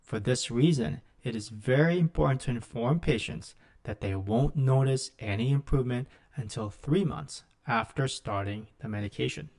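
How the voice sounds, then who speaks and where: Male, middle-aged, mid-pitched voice for scripted clinical teaching; measured, explanatory delivery. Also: a little mellow. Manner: measured, instructional. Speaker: physician lecturer. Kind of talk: scripted medical lecture narration.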